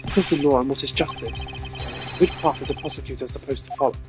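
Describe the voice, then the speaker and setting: A man's voice: mid-range voice, music in the background, natural voice. Man playing a formal, brooding prosecutor. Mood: conflicted. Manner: formal, measured. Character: stern, principled male prosecutor, formal and brooding.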